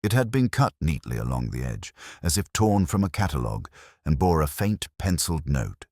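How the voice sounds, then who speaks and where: A man playing a brooding, erudite police detective, narrating his case as a measured, melancholy, literary first-person mystery story. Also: very deep voice, very animated, a little mellow, no echo, clean studio sound, heavily acted. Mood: brooding, reflective.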